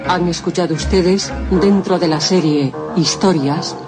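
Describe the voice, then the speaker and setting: A woman makes a scripted radio announcement. Loud music plays along with her words. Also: on the low side, a little slow.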